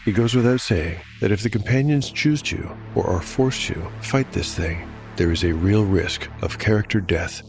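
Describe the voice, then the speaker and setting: Male narrator, very deep pitch, dark fantasy storytelling, solemn, measured, enunciated, prominent music bed. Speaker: narrator and game master.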